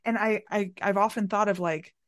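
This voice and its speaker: A woman interviewer talks in a very dry, echo-free recording with a middling pitch. Her style is casual and conversational, playful and frank, like a host chatting with her guest. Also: fairly even intonation, fairly quick. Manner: informal, playful, candid. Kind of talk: casual chat.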